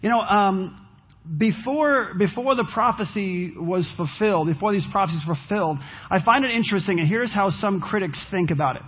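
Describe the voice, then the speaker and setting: A man's voice: sits high, animated, brisk, husky, dull audio. A man preaching a sermon as pastor, losing his voice, talking earnestly and restarting his phrases.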